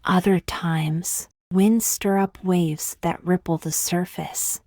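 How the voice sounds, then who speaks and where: Female meditation guide: lowish voice, very unhurried, calm delivery, close dry sound. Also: no room sound. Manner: calm, unhurried, gently measured with long pauses. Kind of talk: guided meditation, scripted soothing narration.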